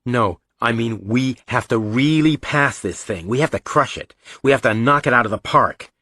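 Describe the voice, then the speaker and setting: A man's voice: medium pitch, lively intonation, normal speed, bright, forceful tone, voice pushed up. Man voicing an anxious, insistent schoolboy. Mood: urgent. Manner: urgent, insistent. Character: anxious, intense schoolboy older brother. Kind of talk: audiobook character dialogue.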